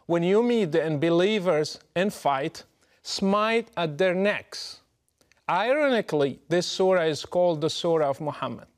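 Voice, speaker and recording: Measured man, slightly high voice. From Morocco. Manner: measured, emphatic, deliberate delivery. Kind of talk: prepared direct address to camera.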